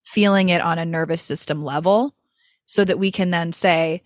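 A woman's voice: fairly low pitch, fairly even intonation, moderate pace, no room sound, clean studio sound. A woman voice coach speaking as a guest in an interview, talking in a thoughtful, flowing way with frequent "like" and "you know" fillers. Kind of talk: reflective interview talk.

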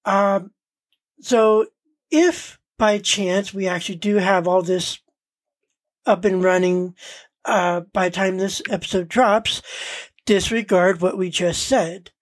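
A woman co-hosting a podcast in casual, unscripted talk, speaking hesitantly and often pausing mid-sentence. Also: medium pitch, no room sound. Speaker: podcast co-host.

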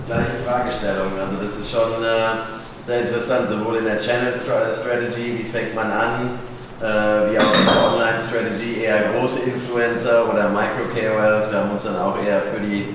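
Male panel speaker, low monotone, hesitant, far from the mic in an echoey, noisy hall. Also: normal speed. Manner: hesitant, with "äh" and "ähm" fillers. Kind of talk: panel discussion remarks. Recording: distant echoey hall with noise.

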